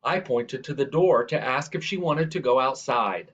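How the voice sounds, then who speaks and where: A man reading a children's picture book aloud in a measured, deliberate way. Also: mid-range voice. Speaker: reader. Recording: dull, lo-fi sound.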